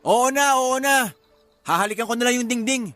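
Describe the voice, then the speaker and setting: Very high-voiced sulky husband. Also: male voice, moderate pace, a bit bright, dry recording, soft background music. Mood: sulky, defensive. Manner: petulant, complaining. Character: sulky, amorous working-class husband, easily brushed off. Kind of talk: Filipino radio drama acting.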